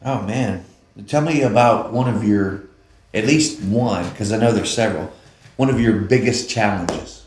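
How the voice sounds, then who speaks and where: Lowish guy hosting, kinda halting w lots of uhs, echoey. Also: a little mellow. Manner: hesitant asking, many "uh"s. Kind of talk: casual podcast interview.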